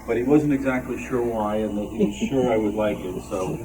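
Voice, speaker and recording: A man's voice: fairly low pitch, brisk. A man talking casually and jokily to a group at a show-and-tell, poking fun at himself. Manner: jokey, self-deprecating. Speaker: club member showing collection items. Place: club meeting room with audience. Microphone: handheld microphone, picked up from a distance. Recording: distant mic, loud crowd noise.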